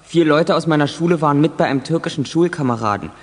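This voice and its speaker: A man's voice: fairly high pitch, fast, natural voice. A young male actor playing a plucky, polite schoolboy in a children's radio play, speaking earnestly and eagerly. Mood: apologetic, chatty. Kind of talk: 1980s German children's radio play acting.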